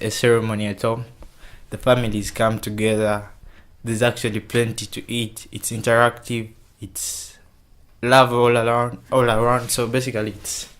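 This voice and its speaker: A man's voice: mid-range voice, fairly bright tone. A man from Kenya answering interview questions casually, often repeating words as he starts.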